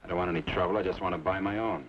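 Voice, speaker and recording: An actor playing a terse, guarded, stubborn ex-soldier drifter in an old western drama. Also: male voice, sits low, fairly even intonation, rapid-fire. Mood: coolly defiant. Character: laconic, stubborn ex-Confederate soldier, a drifting stranger. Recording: dull, noisy old film soundtrack.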